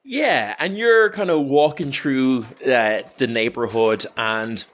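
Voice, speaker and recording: A man narrating an improvised scene as game master in an informal, halting, conversational way. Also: medium pitch, fairly bright tone.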